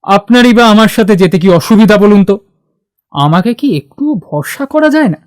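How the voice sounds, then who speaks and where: A woman's voice: mid-range voice, animated, normal speed, a little mellow, dry recording, studio quality, lightly acted. A woman playing a cheeky, persistent, charming young male suitor in an audio drama. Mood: insistent.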